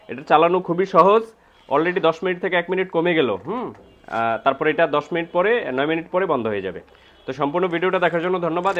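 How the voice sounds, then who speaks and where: A man with a Bangladeshi accent giving an informal, explanatory product demonstration and sales pitch, pausing and repeating phrases as he goes. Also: lo-fi sound. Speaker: medical equipment seller and presenter.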